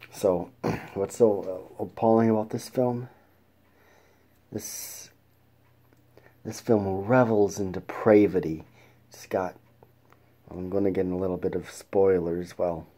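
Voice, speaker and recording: A man hosting a casual film review show, talking in a halting way with restarts and throat clearing. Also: deep voice, dark tone. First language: English.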